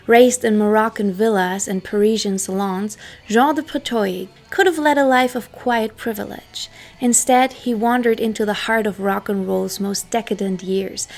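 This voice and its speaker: A woman who is not a native English speaker, hosting her own channel and narrating a scripted story in a dramatic, hushed style, her phrases often trailing off into a whisper. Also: mid-range voice, creaky. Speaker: history channel host.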